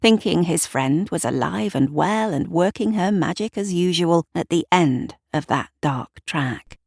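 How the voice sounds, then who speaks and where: A woman narrating a literary audio drama in a measured storyteller's manner. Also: on the low side, expressive, gravelly, darkish tone, slightly rough, no room sound, clean studio sound.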